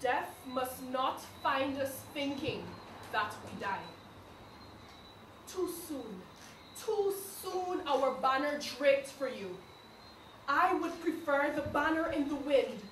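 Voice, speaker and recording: A woman giving a solemn, measured poetry recital at a live event. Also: high voice, expressive, a bit bright. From Guyana. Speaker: poetry reader. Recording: echoey, distant room, faint noise.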